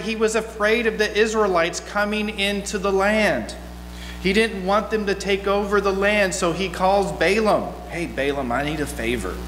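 A middle-aged man giving an informal, story-driven sermon from a church pulpit in a folksy, conversational manner. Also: high-pitched. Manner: folksy, conversational, animated asides. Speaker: guest preacher. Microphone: gooseneck pulpit microphone. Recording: echoey hall, long reverb, mains hum.

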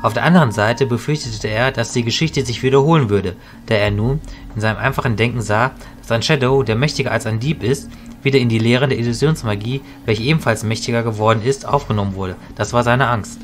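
A man playing a calm, knowledgeable mentor mage, explaining in a measured way in an audio drama. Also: mid-range voice, soft background music, lightly acted. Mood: grave recounting. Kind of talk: hobby audio drama storytelling.

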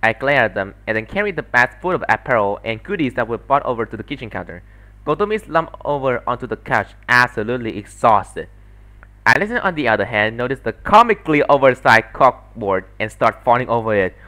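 A man's voice: medium pitch, animated, fairly quick, pushed and bright. A man giving scripted narration for a solo fandub of a story.

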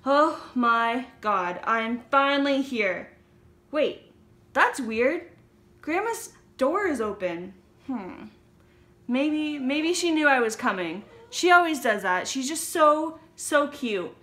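A flippant teenage fairy-tale girl played by a grown woman, high, bright and whiny. Every line is exaggerated puppet-show drama, in a roomy recording. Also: animated, takes it slowly, exaggerated voice. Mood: chirpy. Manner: exaggerated, whiny teen delivery.

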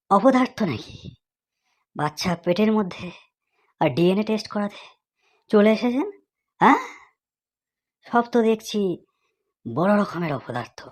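An actor playing a stern, bossy doctor in a comedy cartoon, scolding. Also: medium pitch. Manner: slow, scolding, haughty.

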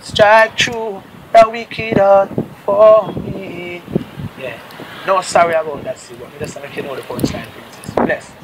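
A man's voice: sits high, fairly even intonation, measured pace. A young man with a Jamaican accent, a reggae singer telling his story at length in patois during an outdoor interview. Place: rooftop outdoors overlooking a city. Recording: loud outdoor noise, wind and vehicles. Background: wind and traffic.

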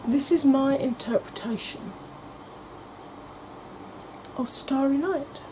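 A woman's voice: high voice, slow, slight background noise, low-quality recording. A woman, chatting casually and wandering off topic in a home makeup vlog. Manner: rambling, self-mocking, soft-spoken at times. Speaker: makeup vlogger. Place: indoor home room, kitchen.

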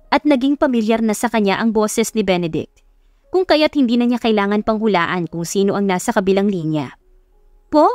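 A woman reads a dramatic love story as a scripted audiobook. Her pitch is average for a woman. The recording is dry, with faint music behind her. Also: no echo. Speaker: audiobook narrator.

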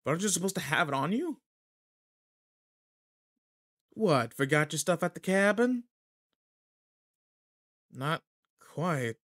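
Man acting as teenage delinquent boy, slightly high voice, cocky, irritable, slangy teasing, roleplay audio. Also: very animated, normal speed, fairly bright tone. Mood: irritated, teasing.

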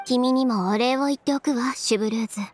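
A woman's voice: a little high, quick pace, darkish tone, lightly acted. A woman playing a proud, self-assured young fashion designer in Japanese game acting, with a cool, assertive, teasing manner. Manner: cool, assertive, teasing, crisp feminine phrasing. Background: background music.

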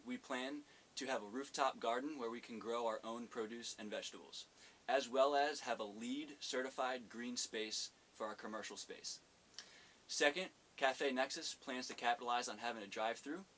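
A young man in his twenties, the owner of a cafe, delivering a rehearsed business pitch to camera in an earnest, steady way, with the odd slip. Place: indoor studio set. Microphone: fixed camera framing, head and shoulders. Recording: faint hiss.

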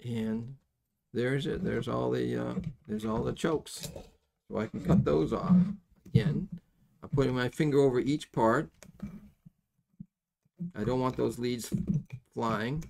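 A middle-aged man giving a casual hands-on soldering tutorial, folksy and halting as he thinks aloud. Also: medium pitch, gravelly, a little mellow. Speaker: electronics soldering instructor. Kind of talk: hands-on tutorial, explaining casually while working. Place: indoors at a workbench.

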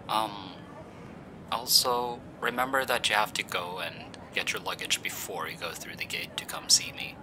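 A young man voicing an affectionate, teasing boyfriend in an audio roleplay, speaking softly and hushed. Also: fairly low pitch, measured pace, long pauses, close to an everyday voice. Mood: soft, nervous. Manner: soft, hushed, teasing with light laughs. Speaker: voice actor. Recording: steady ambient background noise. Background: busy airport ambience.

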